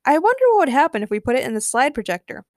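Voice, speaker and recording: A woman's voice: high-pitched, very animated, rapid-fire, no room sound, studio quality, pitched above the performer's own voice. A woman voicing a casual, offhand young male character in a story. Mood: curious. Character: casual young man, easily swayed tinkerer.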